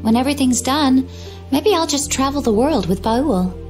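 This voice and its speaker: A serene young game warrior, playful and quietly wistful. Her voice sits a little high, with soft music behind. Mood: coy, gentle. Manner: calm, coy, unhurried. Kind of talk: video game cutscene acting.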